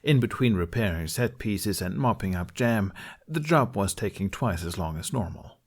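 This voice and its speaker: Low-voiced man narrating a story. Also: expressive, moderate pace, husky. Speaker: amateur podfic reader. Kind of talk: expressive storybook narration of fan fiction.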